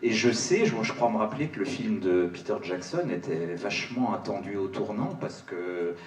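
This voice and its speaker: Lowish, tentative man in a roomy hall. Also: expressive, moderate pace. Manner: tentative, colloquial, self-doubting. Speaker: voice actor on a panel. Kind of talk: casual panel chat. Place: large cinema auditorium. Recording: echoey hall, distant mic, faint noise.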